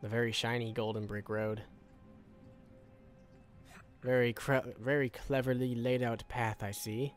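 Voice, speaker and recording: A man records casual gameplay commentary in a middle-pitched voice. He sounds tired and a little worn, rambling and repeating himself as he thinks aloud. Game music plays faintly behind him. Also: little pitch movement, a little slow, long pauses. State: tired, voice wearing out. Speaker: gaming video creator. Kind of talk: casual let's-play commentary.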